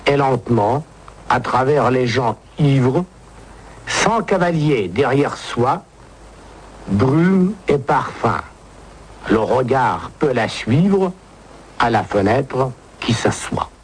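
A man giving a formal literary radio talk in a measured, declamatory, rhetorical manner, heard on an old archival recording. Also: medium pitch, expressive, husky, poor audio. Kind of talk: formal literary radio lecture with recited verse.

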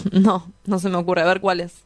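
A woman's voice: on the low side, level tone, unhurried, darkish tone, lightly acted. A woman with an Argentine accent playing a reckless, cynical young male drug dealer in a radio drama, slangy, teasing and insolent. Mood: teasing, defiant. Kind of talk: scripted radio drama acting.

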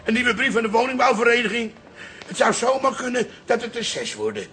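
A man's voice: very high-pitched, expressive, brisk, bright, forceful tone, a little hiss or hum, full character voice. An actor playing a stubborn, wily old grandfather in a radio drama, grumbly and theatrical. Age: older.

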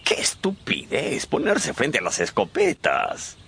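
An actor playing an aristocratic, callous gentleman at a shoot in a radio drama, with a drawn-out, theatrical delivery. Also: medium pitch, huge pitch swings, vocal fry, husky, heavily acted. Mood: shocked, irritated. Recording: faint outdoor ambience noise.

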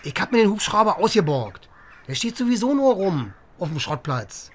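An actor playing a cynical, sweary East German lieutenant and helicopter pilot in a naturalistic radio crime drama, agitated and sarcastic. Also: male voice, high-pitched, sing-song, moderate pace. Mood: sarcastic, exasperated.

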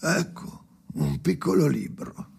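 An actor playing a weary, anguished old man in an experimental radio drama monologue, speaking in halting fragments with a gravelly voice. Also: a little high, big pitch swings, darkish tone, slight background noise, exaggerated voice. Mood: brooding, empty. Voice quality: creaky, gravelly. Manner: halting, fragmentary phrases with heavy pauses. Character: weary, anguished old man, haunted storyteller alone by the sea.

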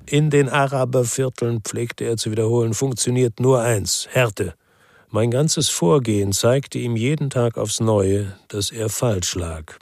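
An actor playing a weary, bitter former policeman who narrates a noir crime radio drama in a measured, laconic way. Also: medium pitch, darkish tone, dry recording, clean studio sound, lightly acted. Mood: grim, reflective.

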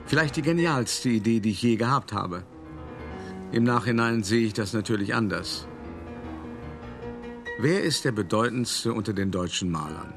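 A low-pitched, cultured older art dealer tells his story in a radio play monologue. He is wry and conversational, speaking straight to the listener, with music clearly behind him. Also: lively intonation, moderate pace, pauses often. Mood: confiding. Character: worldly, cultured old art dealer reminiscing about his schemes. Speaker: narrator.

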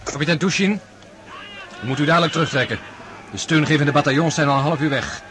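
An actor playing an urgent army officer bringing orders in a radio war drama. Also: male voice, fairly high pitch, brisk, natural voice. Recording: clear battle noise behind.

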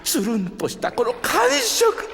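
High-pitched lecherous old man. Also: huge pitch swings, a little slow, fairly bright tone, faint music behind, exaggerated voice. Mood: leering delight. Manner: chuckling, leering, theatrical old-fashioned speech. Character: lecherous, gleeful old man with antique speech. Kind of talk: Japanese audio drama acting. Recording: long reverb, clearly noisy.

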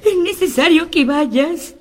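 A woman's voice: high-pitched, lively intonation, slow. A woman playing a loving, anxious mother of a soldier in a radio play, emotional and tender. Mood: anguished. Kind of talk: amateur radio play acting.

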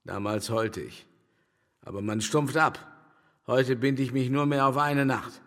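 A man's voice: medium pitch, unhurried, fairly bright tone. An actor playing a vain, boastful, amorous old man in a pompous, declamatory German radio drama style. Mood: amorous, grandiose. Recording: long reverberant hall with music behind.

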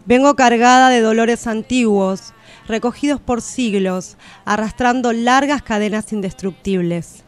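A woman's voice: on the high side, a little slow, smooth, faint music behind, lightly acted. An actress playing an aching yet unbroken, defiant everywoman, reciting in solemn and emphatic poetic radio theatre. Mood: aching, accusing.

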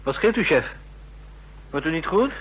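An actor playing a nervous, bungling junior agent in a radio drama, flustered, pleading and stammering. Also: male voice, fairly high pitch, lively intonation, moderate pace, a bit bright, breathy edge, dull audio. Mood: alarmed.

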